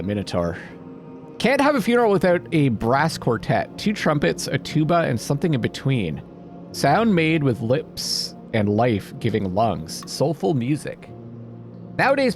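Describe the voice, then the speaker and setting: A man narrates his playthrough casually, musing aloud and reading out on-screen text. His mid-range voice moves around a lot in pitch, with game music clearly playing behind him. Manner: thinks out loud, muses. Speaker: video game player and host. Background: atmospheric game music.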